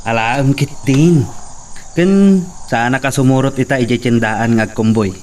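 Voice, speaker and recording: A man's voice: medium pitch, moderate pace, a little mellow, close to an everyday voice. Man playing a laid-back coaxing coworker, distant mic, noisy background. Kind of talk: amateur audio drama acting.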